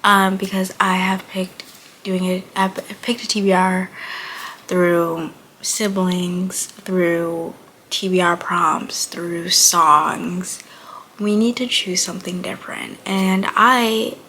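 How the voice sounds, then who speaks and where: Young woman book vlogger, 19, getting over being sick; gravelly fry, chatty and rambling, slips into murmurs. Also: lowish voice, fairly even intonation, slow pace, bright, forceful tone, slight background noise, rough recording. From Buffalo, New York. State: recovering from illness, voice slightly off. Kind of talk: casual chat to camera.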